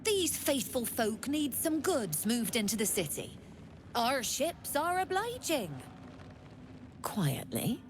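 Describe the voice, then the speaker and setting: A woman voicing a smooth-talking female smuggler merchant with a silky, businesslike manner. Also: fairly high pitch, sing-song, slow, background noise. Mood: sly.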